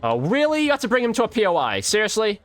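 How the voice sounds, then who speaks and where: A man's voice: high voice, wildly swooping pitch, quick pace, fairly bright tone, no echo. A man giving casual, reactive running commentary while playing a video game. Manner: reactive, exclamatory, slangy. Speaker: video game streamer, YouTube host. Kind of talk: live gameplay commentary, casual chat.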